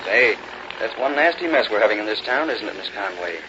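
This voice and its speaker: An actor playing a brash, nosy American newspaper reporter in a radio drama, breezy and slangy, with an American accent on a dull old recording. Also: male voice, fairly low pitch, animated, brisk, noisy background, close to an everyday voice. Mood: casual, fishing.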